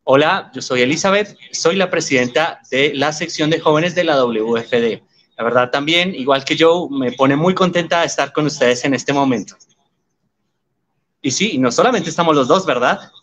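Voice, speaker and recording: Measured man interpreting. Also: a little high, fairly bright tone. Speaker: sign language interpreter voicing the guests. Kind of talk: live voice interpreting. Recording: slightly muffled audio.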